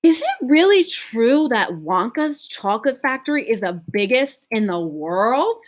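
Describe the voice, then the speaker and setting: A woman's voice: a little high, big pitch swings, a little slow, pitched above the performer's own voice. A woman reading a children's story, voicing a curious, polite little boy who asks things earnestly. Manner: questioning, earnest.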